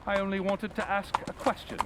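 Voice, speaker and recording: An actor playing a keen, self-assured English detective in old-time radio drama style, courteous, theatrical and inquisitive. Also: male voice, high voice, little pitch movement, fairly quick, background noise, close to an everyday voice. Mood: brisk, confident.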